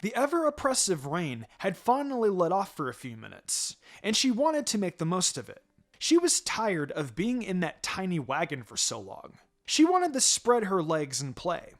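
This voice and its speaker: A man reading fan fiction aloud as an expressive story narrator. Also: high-pitched, moderate pace. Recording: music behind the voice. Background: background music.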